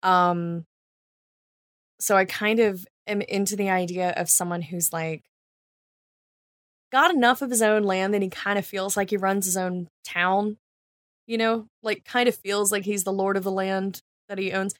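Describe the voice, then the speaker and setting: Casting: female podcast co-host, unscripted and conversational, halting as she works out ideas, dry sound. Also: medium pitch, steady tone, no echo. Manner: halting, thinking aloud, colloquial. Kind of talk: casual unscripted podcast chat.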